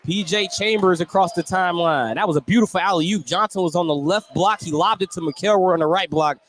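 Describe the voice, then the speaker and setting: A man's voice: sits high, a little fast. A man calling live basketball play-by-play for radio, describing each move in detail. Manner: detailed, descriptive calling, shouting on big plays. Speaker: play-by-play radio sports commentator. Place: indoor basketball gym, courtside broadcast. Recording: loud gym noise behind commentary. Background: loud ambient game noise.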